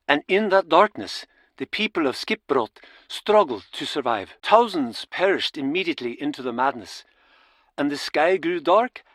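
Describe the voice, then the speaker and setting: A man acting as a storyteller, solemnly recounting an old town legend in a measured, dramatic way for a game. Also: on the high side, fairly bright tone, no echo.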